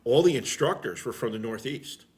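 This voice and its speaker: A man's voice: mid-range voice, animated, normal speed, a little mellow. A middle-aged man telling stories casually as an interview guest, plain-spoken and rambling, with frequent filler phrases. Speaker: interview guest, veteran firefighter.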